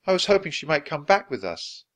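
Man voicing a high, urgent young girl. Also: sing-song, brisk. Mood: sad. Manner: urgent crying out.

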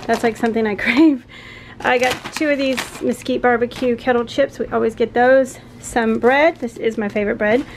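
Chatty Southern mom vlogging at home. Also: female voice, a little high, steady tone, moderate pace, noisy background. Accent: Southern American. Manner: chatty, rambling, laughs often. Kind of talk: casual chat to camera. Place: home kitchen and backyard. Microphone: handheld camera close up.

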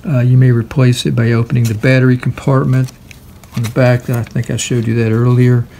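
A man giving a casual, hands-on product walkthrough in a halting, hesitant way. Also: mid-range voice, little pitch movement, vocal fry, dark tone, a little hiss or hum. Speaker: product demonstrator.

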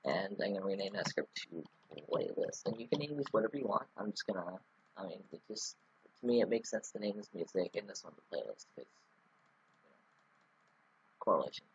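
A man's voice: low-pitched, expressive, brisk, long pauses, husky, dull audio. A man talking through a casual screen-recorded coding tutorial, rambling with many ums and restarts. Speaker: scripting tutorial host.

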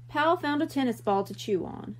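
A woman reading a children's book aloud in an expressive storytelling style. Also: high voice, moderate pace.

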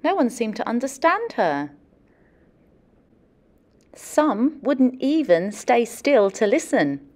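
A woman with a highish, very lively voice talks to young children like a storyteller. Her delivery is unhurried, expressive and encouraging, with some background noise. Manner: animated, deliberate, questioning and encouraging young children. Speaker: teacher or librarian reading to children.

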